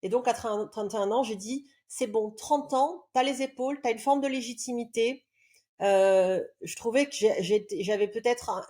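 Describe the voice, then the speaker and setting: Warm-toned woman in her forties, podcast guest, reflective and earnest, halting storyteller. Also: mid-range voice. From southern France. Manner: reflective, earnest, many hesitations. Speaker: love coach guest. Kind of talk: podcast interview, personal storytelling.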